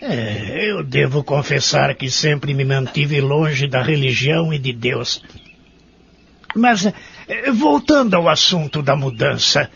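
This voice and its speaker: A male actor voices a skeptical old man in a care home, with a Brazilian accent. His voice is very gravelly and sits slightly high, questioning and stubborn. The radio drama recording is muffled and lo-fi. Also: lively intonation, takes it slowly, fairly bright tone, slight background noise, full character voice. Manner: questioning, doubtful. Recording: dull lo-fi audio drama recording.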